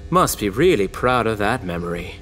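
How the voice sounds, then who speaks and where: A man playing a gruff, sardonic homicide detective in an audio drama, blunt and using slang. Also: lowish voice, big pitch swings, normal speed, fairly bright tone, slight background noise.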